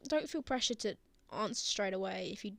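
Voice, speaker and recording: A woman playing a prickly, flustered, lovestruck young man in an intimate ASMR roleplay, with halting, sardonic delivery. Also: medium pitch, expressive, creaky, a bit bright, no echo. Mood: awkward, apologetic.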